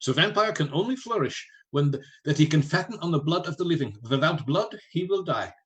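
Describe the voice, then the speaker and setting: A grave professor vampire hunter, fairly high voice, formal speechy delivery, radio drama. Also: male voice, animated, a bit bright. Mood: grave, commanding. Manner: formal, deliberate, oratorical. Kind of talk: radio play acting.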